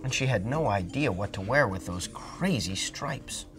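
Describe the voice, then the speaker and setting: Expressive man reading a storybook. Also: on the low side, a little slow, soft background music. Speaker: storybook reader. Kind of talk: children's read-aloud narration.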